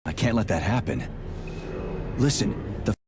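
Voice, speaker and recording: An actor playing a blunt, sarcastic, hot-headed soldier in a video game, with music under the dialogue. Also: male voice, on the low side, animated, normal speed, close to an everyday voice. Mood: subdued, resolved. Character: hot-headed, confused male soldier, a gruff Major. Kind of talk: dramatic video game voice acting.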